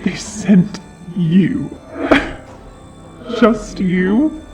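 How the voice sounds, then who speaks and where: A man's voice: high-pitched, animated, very slow, full character voice. A man voicing a haughty, sardonic dragon in an audio roleplay, with a taunting, theatrical delivery. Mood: mocking. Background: fantasy game-style music.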